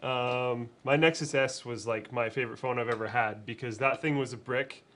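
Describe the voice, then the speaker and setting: A relaxed man co-hosting a tech live show while sick with a cold. He chats casually at a medium pitch and laughs easily. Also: normal speed. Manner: laid-back, laughs often. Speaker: co-host. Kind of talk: casual chat.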